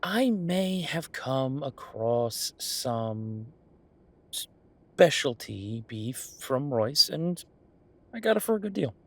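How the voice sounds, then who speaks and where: A man playing an affectionate, teasing chef boyfriend in an intimate roleplay audio, talking in a conversational, reassuring way with a husky voice. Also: medium pitch, very animated, slow, heavily acted. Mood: playful, sheepish. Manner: conversational, reassuring, playfully bantering. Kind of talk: intimate one-sided boyfriend roleplay acting.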